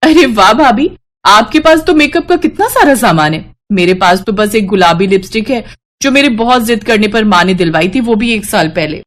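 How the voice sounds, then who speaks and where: A woman voicing an eager, wide-eyed teenage girl with an excitable, chirpy manner. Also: mid-range voice, moderate pace, close to an everyday voice. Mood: amazed.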